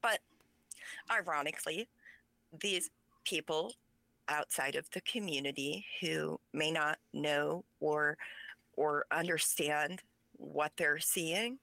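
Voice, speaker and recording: A woman speaking English as a second language, with Pennsylvania Dutch as her first, talks as an interview guest. Her voice sits low for a woman but comes across bright and forceful. She speaks with passion and emphasis, recorded dry and close with no room sound. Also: slow pace, creaky, long pauses, no echo, rough recording. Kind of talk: conversational interview.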